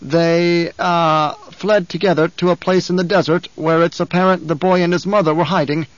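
A man voicing a nervous, flustered male guard captain who answers in a hurried, deferential way. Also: fairly high pitch, fairly even intonation, no room sound, pitched above the performer's own voice, natural voice. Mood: anxious. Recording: dull, dry studio recording.

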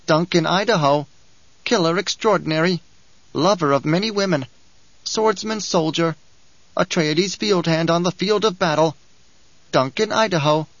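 A shrill, whining little male dwarf, full of spite and mockery, who, as the narrator puts him on, rides high above the reader's own voice. He sneers and coaxes in an audiobook read that sounds dry and dull. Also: normal speed, long pauses, a bit bright, no echo. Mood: taunting. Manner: whining, giggling, taunting. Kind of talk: audiobook character reading.

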